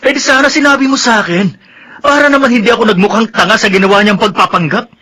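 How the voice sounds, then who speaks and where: Indignant young brother, filipino radio drama, highish raspy forceful voice. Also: male voice, fast talker. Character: accusing, upset young brother, earnest and indignant.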